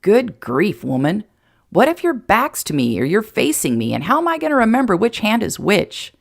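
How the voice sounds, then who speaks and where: A woman's voice: deep voice, animated, moderate pace, vocal fry, heavily acted. A woman voicing an earnest, lovestruck, plain-spoken small-town sheriff in an audiobook romance, fond and a little exasperated. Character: earnest, blunt, lovestruck adult male sheriff turned rancher.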